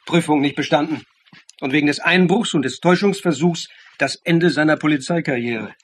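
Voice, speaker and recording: An actor playing an insistent, methodical accuser who lays out a murder theory in pointed phrases in a German radio play. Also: male voice, on the high side, a little fast, a bit bright, slightly rough. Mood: insistent, accusing.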